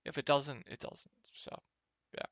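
A young man with a slightly hoarse, gravelly voice, casually talking through fantasy football picks over a screen share, with many ums. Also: a little slow, no room sound. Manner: relaxed, lots of ums. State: a little hoarse. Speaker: fantasy sports analyst.

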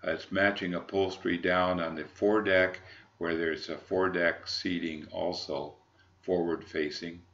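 An American man, middle-aged or older, giving an unscripted sales walkthrough in a halting, measured, formal manner. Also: sits low, flat delivery, fairly bright tone, lo-fi sound, slight background noise. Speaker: yacht broker presenting a boat.

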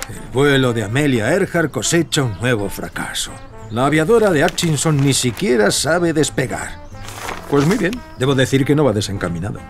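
A man playing a bitter, gruff, sarcastic pilot in an audio drama. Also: medium pitch, sing-song, normal speed, faint music behind. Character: bitter, irritable hard-drinking male pilot.

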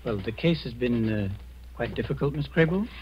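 An actor playing a polite, calm male psychiatrist with a courteous, measured delivery in 1940s radio drama. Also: medium pitch, close to an everyday voice. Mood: politely helpful. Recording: muffled, noisy 1940s radio recording.

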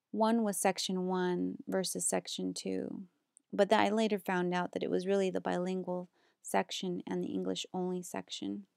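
A young woman from the United States, a graduate student reading a prepared slideshow presentation in a steady, earnest way. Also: medium pitch, vocal fry, dry recording, clean studio sound.

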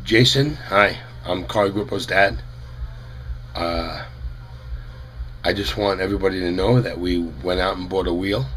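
A middle-aged man with a head cold and a fading voice, talking earnestly to a webcam in a small room, halting, with many fillers. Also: sits low, a bit bright, a little hiss or hum. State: head cold, losing his voice. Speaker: parent of a runner. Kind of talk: casual to-camera statement.

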